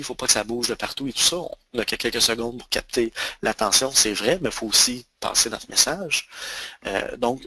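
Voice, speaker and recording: A man in his thirties with a Quebec French accent, giving a webinar on email marketing and often pausing on fillers and restarts. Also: medium pitch, bright, forceful tone, husky, dry recording. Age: 30s. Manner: hesitant, many fillers and restarts. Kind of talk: webinar presentation.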